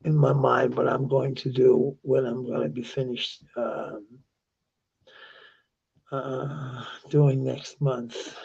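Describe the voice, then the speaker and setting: A man from Denmark with a Danish accent and a sore, gravelly voice, talking at length in a halting, rambling monologue. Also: medium pitch, little pitch movement, darkish tone, highs cut off. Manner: halting, with many restarts and fillers. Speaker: vlogger.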